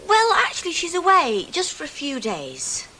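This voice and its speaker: A woman's voice: high-pitched, huge pitch swings, moderate pace, noisy background. A chatty landlady. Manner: chatty, homely, colloquial. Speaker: woman posing as a landlady.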